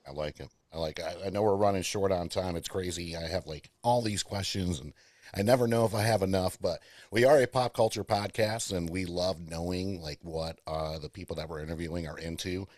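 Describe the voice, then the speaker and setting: Low-voiced chatty podcast host, man. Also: fairly quick, a little mellow, no room sound. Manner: chatty, stumbling restarts. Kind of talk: casual press-day interview.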